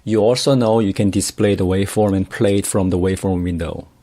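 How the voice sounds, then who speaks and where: Low-voiced man narrating a software tutorial. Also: steady tone, brisk. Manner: plain step-by-step explaining. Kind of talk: instructional screencast narration.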